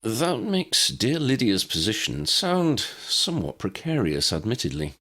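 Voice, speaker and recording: A mannered wizard gentleman from a storybook , played by a man. He is polite and wordy, picking phrases precisely with animated, deliberate emphasis. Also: mid-range voice, pitched above the performer's own voice, exaggerated voice. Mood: delicately cautious. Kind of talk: storybook character acting.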